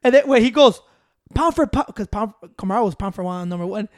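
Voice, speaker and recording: A man's voice: high-pitched, fast, no room sound, studio quality. Laid-back man. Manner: relaxed storytelling, profane, drops Spanish words. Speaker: podcast co-host. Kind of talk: casual chat.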